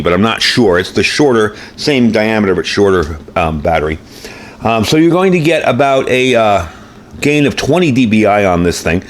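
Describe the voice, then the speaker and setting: Low-voiced American man reviewing gear. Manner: informal, explanatory. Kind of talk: casual product review. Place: indoor workbench, later outdoors by the house.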